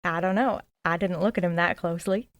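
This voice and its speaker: A woman's voice: lowish voice, steady tone, brisk, muffled recording, lightly acted. A woman playing a nosy, loyal small-town sidekick in an audio drama, with a frank, folksy and eager manner. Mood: chatty, awed. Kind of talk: audio drama dialogue acting.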